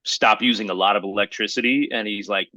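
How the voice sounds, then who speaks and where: An American man in casual podcast conversation, animated and slangy, punctuating his points like he is talking to a buddy. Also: on the low side, brisk, no room sound. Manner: animated slangy talk, often saying "bro". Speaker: podcast co-host.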